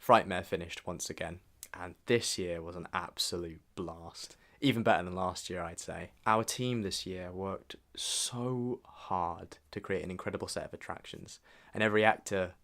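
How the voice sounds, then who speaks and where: A man's voice: deep voice, a bit bright. A young man narrating a scripted recap of his year in a reflective, informal way. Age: young adult. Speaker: vlogger narrating a year in review. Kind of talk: scripted voice-over recap with casual asides.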